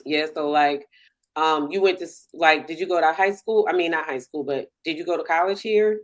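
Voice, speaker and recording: A woman with a slightly low voice hosts a casual podcast conversation. Her way of talking is folksy and colloquial, relaxed in wording as she asks and responds. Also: moderate pace. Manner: folksy, colloquial, frequent backchannels. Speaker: podcast host. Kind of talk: casual chat.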